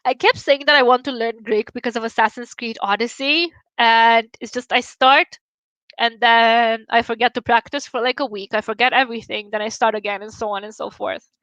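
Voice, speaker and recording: A woman's voice: on the high side, fairly even intonation, fairly quick, fairly bright tone, no room sound, low-quality recording. A young woman from Romania with a Romanian accent, chatting candidly with her livestream viewers and swearing casually. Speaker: livestream host.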